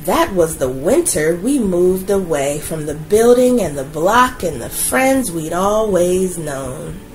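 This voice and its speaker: Slow, gentle woman reading a storybook. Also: mid-range voice, rough recording. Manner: gentle, expressive, slow and soothing. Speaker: children's book reader. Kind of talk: read-aloud storytelling.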